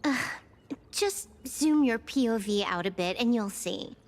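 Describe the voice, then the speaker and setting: A woman's voice: fairly high pitch, lively intonation, unhurried. A woman playing a cocky, playful young hacker in a video game, nonchalant and teasing as she drawls. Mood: nonchalant, mildly annoyed. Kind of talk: scripted video game character acting.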